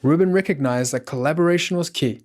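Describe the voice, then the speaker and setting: A man's voice: on the high side, quick pace. A man reading scripted documentary narration.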